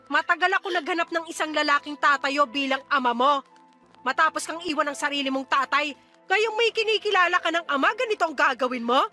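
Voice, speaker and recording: A woman's voice: sits high, fast talker, bright, forceful tone. A woman playing a harsh, defensive middle-aged mother in a radio drama, scolding and dismissive. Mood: scornful, scolding. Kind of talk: Tagalog radio drama acting. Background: music.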